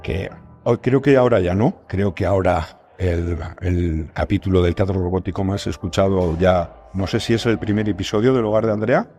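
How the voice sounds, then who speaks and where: A deep-voiced man with a Spanish accent from Spain talks as a guest in a casual interview. He rambles as he explains things and pokes fun at himself. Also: normal speed, darkish tone, faint music behind. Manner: rambling, self-deprecating. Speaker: podcast guest, writer and creator of audio fiction.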